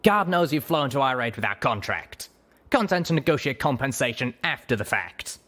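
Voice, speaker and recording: A man voicing a brisk, wry female griffin mercenary leader in a storybook reading, chatty and blunt. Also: medium pitch, sing-song, a bit bright, pitched above the performer's own voice. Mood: explaining.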